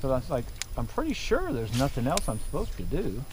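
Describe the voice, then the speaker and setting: A man in his forties hosting a fishing video from a boat on a river, chatting casually and breaking into loud bursts of excitement. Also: medium pitch, fairly quick. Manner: excitable, loud bursts when fish hit. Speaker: fishing channel host. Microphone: action camera on the boat. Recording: outdoor camera audio with water noise.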